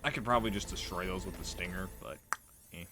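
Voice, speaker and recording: A man's voice: sits low, fast talker. A man giving casual, offhand and sweary commentary as he plays through a video game. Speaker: let's player. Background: loud video game music.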